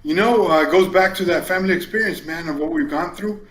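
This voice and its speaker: A man's voice: sits high, fast, slight background noise. A man in his forties from California, a native Spanish speaker, chatting informally and telling stories as an interview guest. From East San Jose, California, United States. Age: 40s. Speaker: interview guest, community politics commentator. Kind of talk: casual personal storytelling. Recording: roomy, echoing sound.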